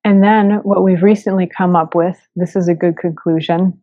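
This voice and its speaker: A woman's voice: lowish voice, steady tone, moderate pace. A woman hosting a podcast in a conversational style, with a dull, echoey recording. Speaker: podcast host. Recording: dull, echoey room sound.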